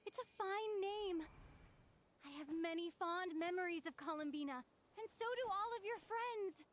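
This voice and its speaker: A woman voicing a gentle, caring woman in a video game, soft and tender as she reaches out to a friend. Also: very high voice, normal speed.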